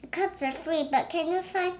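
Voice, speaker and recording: A young child reading a storybook aloud haltingly, breaking into excited chatter. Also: extremely high pitch.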